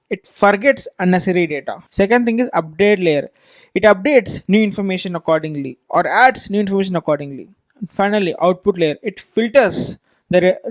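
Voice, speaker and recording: High-voiced man lecturing over slides. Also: dry recording. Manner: explains with examples and repeats himself, speed varies a lot. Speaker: instructor.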